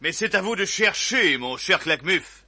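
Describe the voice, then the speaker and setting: An actor playing a calm, scheming gang boss giving orders in a radio comedy. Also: male voice, high voice, moderate pace, no echo, soft background music, exaggerated voice. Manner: calm, commanding.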